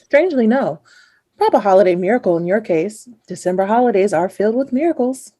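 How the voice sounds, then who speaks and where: A woman's voice: medium pitch, lively intonation, a little fast, mellow, breathy edge. A woman playing a playful, self-amused narrator in a comedic radio play, breezy and tongue-in-cheek. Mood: wry.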